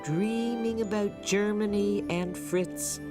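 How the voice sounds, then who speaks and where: A woman playing the gentle storybook narrator of a children's cartoon, telling it expressively. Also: mid-range voice, steady tone, slow, lightly acted. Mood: gentle storytelling. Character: warm storybook narrator of a small boy's adventures. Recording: music behind the voice.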